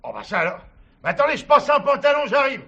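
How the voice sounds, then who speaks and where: Flustered, high-voiced estate manager. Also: male voice, very animated, quick pace, a bit bright, dull audio, heavily acted. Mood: flustered, protesting. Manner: agitated, stammering, protesting. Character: flustered, evasive male estate manager under police questioning. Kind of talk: French stage-play dialogue. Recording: faint background noise, 1970s production.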